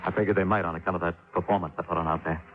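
An actor in a 1950s radio drama playing a nervous, shifty male fugitive who talks haltingly and evasively. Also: low voice, steady tone, a little hiss or hum, close to an everyday voice. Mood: nervous, confiding. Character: nervous, shifty male fugitive killer feigning humble harmlessness. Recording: tinny, phone-like 1950s radio recording.

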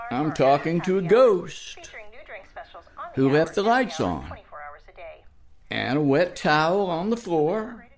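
An exasperated, sarcastic woman searching for her partner in an audio drama. Her delivery is slow and very animated in pitch, as if half talking to herself and half calling out. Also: heavily acted.

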